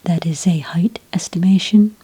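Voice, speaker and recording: A woman speaking in a whispery, breathy soft voice, calm and methodical, as an agent in an ASMR roleplay, close to the microphone. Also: on the low side, a little hiss or hum, low-quality recording. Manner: calm, methodical questioning with gentle pauses. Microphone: close microphone in a dry room.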